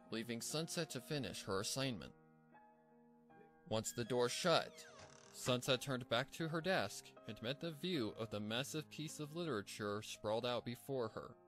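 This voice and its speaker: A man reading scripted story narration in an expressive storytelling manner. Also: medium pitch, unhurried, faint music behind.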